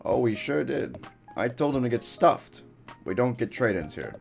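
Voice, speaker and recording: A man's voice: medium pitch, sing-song, moderate pace, gravelly, soft background music. Man plays a condescending game crab shop clerk with salesman patter.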